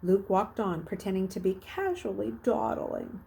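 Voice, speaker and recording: A woman reading a children's novel aloud as a storyteller, narrating expressively, with emphatic swells and hushed phrases. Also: mid-range voice, sing-song, moderate pace, vocal fry.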